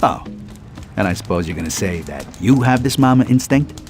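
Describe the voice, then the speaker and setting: A man voicing a dry, skeptical sabretooth tiger uncle in an animated game, teasing and deadpan but gruffly affectionate. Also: fairly low pitch, normal speed, over music, lightly acted. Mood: sarcastic. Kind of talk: animated game cutscene dialogue.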